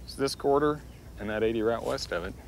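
A man's voice: mid-range voice, a little fast, a little hiss or hum. A man, a farmer chatting casually with family outdoors. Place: outdoors on farmland.

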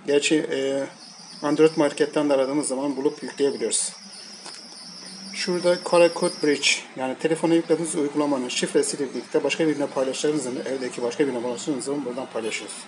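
A man giving a casual, unscripted hands-on product commentary, explaining piece by piece in a halting way. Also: mid-range voice, steady tone. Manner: halting, piecemeal explaining while handling items. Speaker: product reviewer. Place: indoors at a table, hands-on tabletop shoot. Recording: noisy amateur home recording. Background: clear background noise.

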